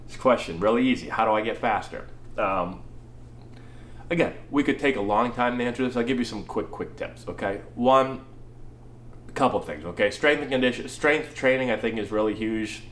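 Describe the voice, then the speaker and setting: A man's voice: on the low side, normal speed, a bit bright, slight background noise. A man in his thirties with a stuffy cold, a baseball coach casually answering viewer questions and tagging his points with "right?". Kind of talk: casual talking-head Q&A. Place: small indoor room, plain wall. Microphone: camera in front, head and shoulders. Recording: echoey room.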